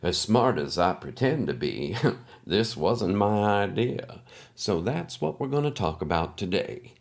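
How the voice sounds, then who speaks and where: A man's voice: on the low side, big pitch swings, measured pace, creaky, exaggerated voice. A man playing a folksy, eccentric podcast host who narrates in a theatrical, chummy, wry way. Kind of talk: scripted comedic podcast narration.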